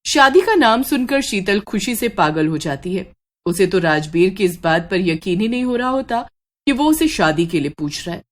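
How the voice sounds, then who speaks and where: A woman's voice: mid-range voice, lively intonation, moderate pace. Woman narrating a scripted horror story.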